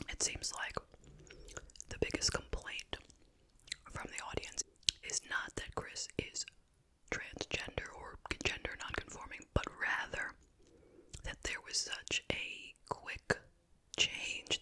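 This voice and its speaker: An ASMR host speaking in a breathy whisper, telling casual, gossipy stories with pauses in a chit chat style. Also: pushed and bright.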